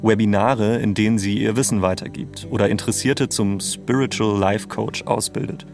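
A man's voice: lowish voice, fairly even intonation, normal speed, gravelly, over music. A man hosting and narrating a documentary podcast from a script.

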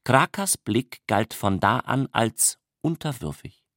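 A man narrates a literary text in a mid-pitched voice. His delivery is measured and matter-of-fact, recorded close and dry without room sound. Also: lively intonation, fairly bright tone, no room sound.

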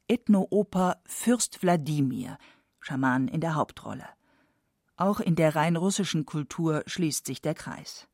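A woman's voice: low voice, a little mellow, dry recording, studio quality. A woman reading scripted narration as a documentary narrator.

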